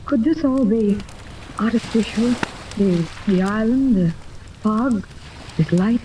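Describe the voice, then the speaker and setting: A woman's voice: fairly high pitch, slow pace, warm tone. An actress playing a nervous but plucky woman adventurer in a 1930s radio serial, with earnest, hesitant phrasing. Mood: wondering, anxious. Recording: noisy old radio, rain ambience over it.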